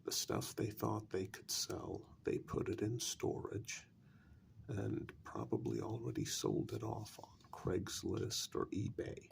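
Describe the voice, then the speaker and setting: Man playing a gravelly, soft-spoken vampire envoy. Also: low-pitched, big pitch swings, a little hiss or hum, heavily acted. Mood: frank, pressing. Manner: slow, deliberate, with long pauses and firm emphasis. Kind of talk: soft-spoken ASMR role-play monologue.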